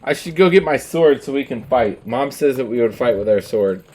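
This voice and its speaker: A man's voice: medium pitch, lively intonation, moderate pace, a little mellow, low-quality recording. A man casually joking and rambling while streaming a game. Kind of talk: casual gameplay commentary.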